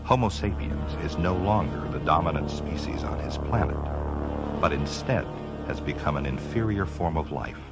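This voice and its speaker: Super deep doom narrator, portentous sci-fi audio drama, big music behind him. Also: male voice, animated, moderate pace. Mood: grave, ominous. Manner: portentous, grandiose. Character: ominous solemn male narrator of alien invasion tale. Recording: loud music under the voice. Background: loud dramatic music.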